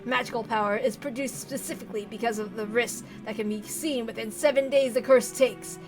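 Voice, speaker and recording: A woman's voice: on the high side, steady tone, over music, voice pushed down, natural voice, rough recording. A woman voicing a deranged, tyrannical old patriarch with theatrical, obsessive rants, reading from a visual novel. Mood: grave, fervent. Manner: theatrical howling rants, drawn-out pleading.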